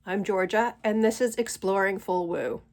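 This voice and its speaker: A woman in her forties or fifties hosting her own channel, talking slowly and thoughtfully at home while stuffy from a cold. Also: medium pitch. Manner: gentle, unhurried, thoughtful pauses. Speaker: channel host. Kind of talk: slow reflective spiritual monologue.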